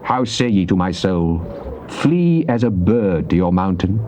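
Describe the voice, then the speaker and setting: A British actor playing a fervent psalmist in a dramatized King James Bible reading, declaiming solemnly and deliberately. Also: sits low, sing-song. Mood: resolute. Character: psalmist, fervent man praying and praising God. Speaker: scripture reader. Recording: dull, noisy cassette tape transfer.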